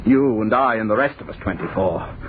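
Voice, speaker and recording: Male actor as bitter immortal from the future, low voice, condescending and impassioned, vintage radio drama with faint noise. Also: animated, a little fast, close to an everyday voice. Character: bitter, world-weary 250-year-old perfected man of a future world. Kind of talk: old-time radio horror drama acting. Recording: muffled, noisy old radio recording.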